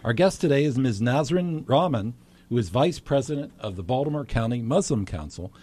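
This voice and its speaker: A man hosting a local talk show, friendly and a little halting, often repeating words as he starts a sentence. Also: mid-range voice, lively intonation, dark tone. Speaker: host.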